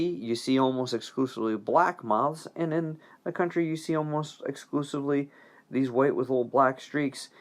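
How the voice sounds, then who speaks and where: A man teaching an informal recorded lesson, talking in a folksy, conversational way with playful asides. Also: a little high. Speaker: biology teacher.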